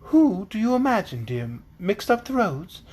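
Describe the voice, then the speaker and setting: Storybook princess, played by a man: young, British, dainty and genteel, high register. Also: sing-song, moderate pace, darkish tone. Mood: sweetly amused.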